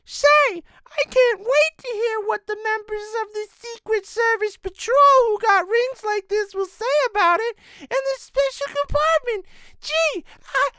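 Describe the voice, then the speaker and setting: A man's voice: very high voice, a little slow, bright, forceful tone, dry recording, pitched above the performer's own voice, heavily acted. A man voicing an eager, gushing young boy sidekick in an old-style radio play. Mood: excited. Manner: gushing, wide-eyed. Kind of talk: old radio serial acting.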